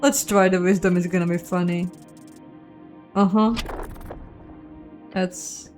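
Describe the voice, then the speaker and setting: A woman's voice: on the low side, steady tone, slow pace, darkish tone, soft background music. A woman giving casual, playful let's play commentary, chatting and thinking aloud as she goes. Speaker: host of a game playthrough.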